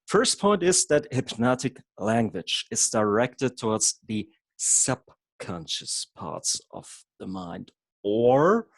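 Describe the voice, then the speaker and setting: A man's voice: medium pitch, sing-song, takes it slowly, creaky, no echo. A man from Germany with a German accent, a hypnotherapist presenting an online workshop in an explanatory way, with occasional stumbles over words. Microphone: video call.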